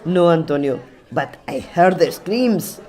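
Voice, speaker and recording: A woman playing a sharp-tongued, deadpan and menacing village woman in a scripted comedy radio play. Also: sits low, measured pace. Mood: gloomy, dry. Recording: noisy, with clicks and mains hum.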